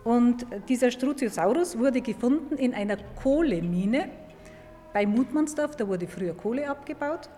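A woman explaining science to a young listener in a clear, didactic way, stressing key words by repeating them. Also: on the high side, expressive, moderate pace, mellow, soft background music. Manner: clear, didactic, emphatic repetition. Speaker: museum paleontologist. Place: large echoing indoor museum hall. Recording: reverberant hall with background noise.

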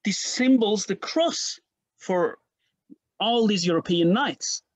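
A man's voice: takes it slowly, no room sound. A middle-aged man, a native Persian speaker from Iran, explaining history at length in an interview with emphatic, restarting speech. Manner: emphatic, restarts often, animated pitch jumps. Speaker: historian guest.

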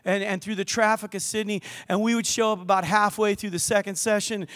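An older man preaching a lively sermon in church, mixing scripture with jokes and stories. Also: sits high, brisk. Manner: lively, joking preacher with asides to the crowd. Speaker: pastor. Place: church hall with balcony.

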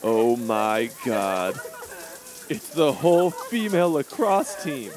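A man's voice: mid-range voice, sing-song, slow. A man playing a pampered, crude teenage rich boy in a comedic audio drama, with a swaggering, melodramatic delivery. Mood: smug, theatrical.

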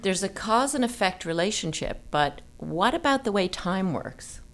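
A woman's voice: mid-range voice, expressive, moderate pace. A woman hosting a conversational literary podcast, explaining ideas and asking leading questions. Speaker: podcast host.

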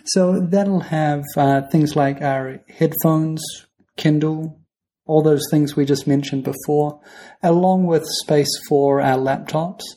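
A man's voice: a little high, slow. A man with a New Zealand accent, co-hosting a travel podcast in casual conversation, telling anecdotes and acting out the people in them. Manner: storytelling, animated when quoting others. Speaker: podcast co-host.

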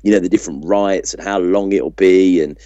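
A man's voice: deep voice, fairly even intonation, moderate pace, warm tone, no room sound. A man co-hosting a sports podcast in casual, conversational style, recorded on a slightly dull microphone. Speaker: podcast co-host.

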